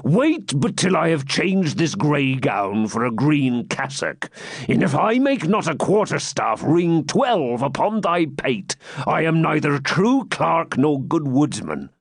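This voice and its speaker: A man voicing a boisterous, blustering friar in an audiobook, with booming, drawn-out archaic speech. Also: sing-song, breathy edge, no room sound, full character voice. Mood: boastful. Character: boisterous, blustering hermit friar, pious fraud and brawler. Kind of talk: audiobook character voice.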